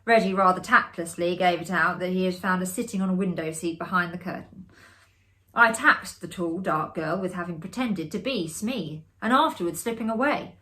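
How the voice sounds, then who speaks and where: A woman giving a dramatic, expressive reading of a classic ghost story as a storyteller. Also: fairly low pitch, normal speed, low-quality recording. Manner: expressive, acting out the dialogue. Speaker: storyteller, amateur theatre performer.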